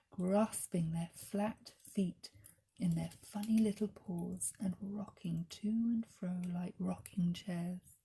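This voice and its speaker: A woman reading a children's story as narrator, in a gentle, deliberate storytelling manner. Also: mid-range voice, a little hiss or hum, rough recording. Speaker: story reader.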